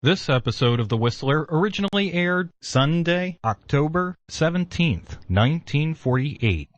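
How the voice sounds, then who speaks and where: A man's voice: a little high, lively intonation, moderate pace, no echo. A man reading scripted station announcements as a radio announcer, in a dull lo-fi recording.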